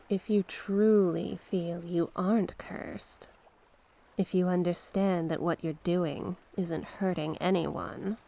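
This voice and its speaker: A woman playing a mysterious banished sea witch in an ASMR roleplay, coaxing and knowing, with a teasing, deliberate manner. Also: fairly low pitch, darkish tone. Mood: coaxing, probing. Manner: slow, teasing, deliberate, coaxing with pet names. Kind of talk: ASMR character roleplay monologue.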